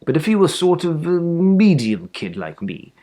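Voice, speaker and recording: Animated man reading a children's story. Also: on the high side, moderate pace. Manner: animated, theatrical emphasis. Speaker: storybook reader.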